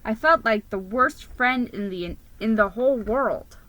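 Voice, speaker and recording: A woman reads a children's story aloud with theatrical expression. Her voice is bright and slightly high, the delivery unhurried, and the sound close and dry. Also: no echo, low-quality recording. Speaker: story reader. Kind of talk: dramatic children's story reading.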